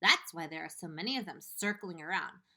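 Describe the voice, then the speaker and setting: Young boy hero, firm and confident, lively storybook voice, read by a woman. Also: fairly low pitch, brisk, heavily acted.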